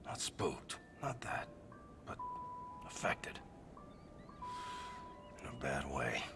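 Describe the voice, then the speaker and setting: A man playing a gruff, brooding storyteller in a video game cutscene, with a gravelly, breathy low rasp and an ominous delivery. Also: big pitch swings, extremely slow, music in the background, exaggerated voice. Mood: uneasy, tough. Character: gruff, brooding man haunted by a burned house.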